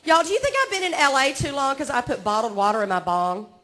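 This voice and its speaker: A woman's voice: sits high, big pitch swings, fast, fairly bright tone. A woman in her forties from Georgia with a Southern accent, doing a self-mocking stand-up set on a comedy club stage and chatting with the crowd. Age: 40s.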